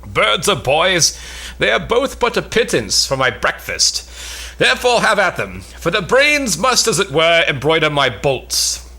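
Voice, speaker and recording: A man playing a pompous, foolish braggart knight who declaims grandly in a comic Elizabethan stage play, with a rough tone. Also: fairly high pitch, huge pitch swings, unhurried, bright, forceful tone, exaggerated voice. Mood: blustering. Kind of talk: comic Elizabethan stage drama, cold read.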